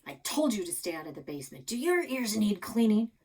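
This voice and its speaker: A woman's voice: mid-range voice, big pitch swings, fairly quick, darkish tone, husky, voice pushed up. A woman voicing a stern old grandfather scolding his grandchildren in a storybook. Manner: scolding, commanding.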